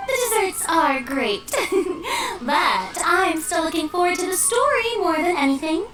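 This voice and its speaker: A bright-pitched, animated young woman, theatrical and teasing. The voice is a bit far off in an echoey game mix with music behind. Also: measured pace, exaggerated voice. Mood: playful. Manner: lively, teasing, fond of drama. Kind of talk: game voice acting.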